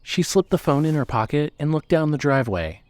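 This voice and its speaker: A man's voice: a little high, animated, fairly quick, a little mellow, no echo. A man narrating a scripted story as the narrator of an audio drama.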